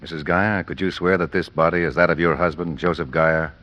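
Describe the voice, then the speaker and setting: An actor playing a formal, businesslike police lieutenant in an old radio crime drama. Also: male voice, low voice, fairly even intonation, brisk, fairly bright tone, dull audio. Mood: official, steady. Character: brisk, official male police lieutenant. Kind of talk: 1940s-50s radio drama acting. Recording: noisy vintage radio recording.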